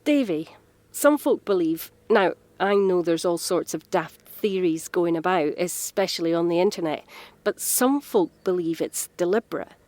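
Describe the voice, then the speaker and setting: A woman's voice: fairly low pitch, lively intonation, normal speed, darkish tone, no echo, close to an everyday voice. An actress playing a frank, caring working woman, explaining things earnestly in a naturalistic audio drama. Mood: grave, emphatic.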